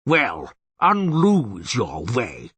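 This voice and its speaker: A man voicing a huge, blustering, irascible bear-headed monster in a gruff, raspy storybook reading. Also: mid-range voice, wildly swooping pitch, very slow, a bit bright, no echo, heavily acted. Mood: grudging. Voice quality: raspy, roaring. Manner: blustering, indignant, theatrical.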